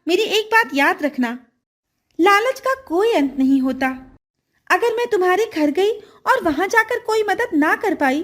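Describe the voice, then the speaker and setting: A very high, lilting female golden fish from a children's storybook, acted out by a woman narrator. She is kind and honest, speaking in a gentle, earnest and polite way.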